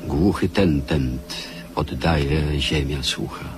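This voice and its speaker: Somber narrator, radio play: extremely low, slow poetic delivery over clear music. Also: male voice. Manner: solemn, measured.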